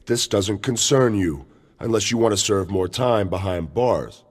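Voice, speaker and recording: A man's voice: lowish voice, lively intonation, a little slow, husky, no echo. A man playing an arrogant, scheming wrestling champion who taunts and mocks theatrically in a video game cutscene. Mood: scornful. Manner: smug, mocking, theatrical.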